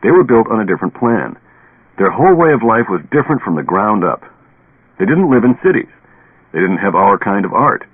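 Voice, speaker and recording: An actor playing a stubborn, haunted, tipsy professor who lectures deliberately and dramatically in a radio play. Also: male voice, lowish voice, very animated, husky, phone-quality sound. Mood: insistent. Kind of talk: old radio drama acting. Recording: band-limited old radio recording, echoey.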